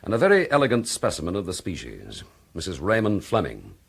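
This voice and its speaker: An actor playing a determined, dryly courteous British police detective in a radio drama, husky and clipped. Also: male voice, low-pitched, huge pitch swings, brisk, heavily acted. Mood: dry, wry.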